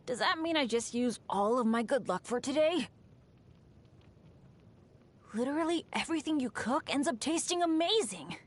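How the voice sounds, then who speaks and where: A woman's voice: high-pitched, expressive, normal speed, long pauses. A woman voicing a cheerful, unlucky teenage boy adventurer for a video game, earnest, casual and humble. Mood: excited, upbeat. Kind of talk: video game character voice lines.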